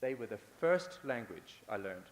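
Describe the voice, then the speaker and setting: A man's voice: fairly low pitch, very animated, normal speed. A man giving a conference talk, building his points with repeated phrases and the odd filler or restart. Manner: repetitive, rhetorical, with fillers and restarts. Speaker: conference speaker. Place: large conference hall. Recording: echoey hall with faint hiss.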